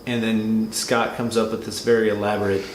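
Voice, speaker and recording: A man's voice: fairly low pitch, level tone, a little fast. A man co-hosting a movie review, talking casually and unscripted, often rephrasing as he goes. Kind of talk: casual unscripted film discussion. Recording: roomy echo, light background noise.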